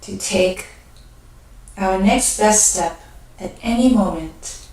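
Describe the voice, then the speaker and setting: A woman leading a guided meditation, speaking gently and unhurriedly in short phrases with pauses between them. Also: mid-range voice. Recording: very echoey room, distant mic.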